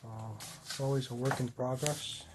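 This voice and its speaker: A man with a rough voice, casually rambling and correcting himself while he shows his model city. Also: mid-range voice, level tone, normal speed, background noise. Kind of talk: casual talk-through.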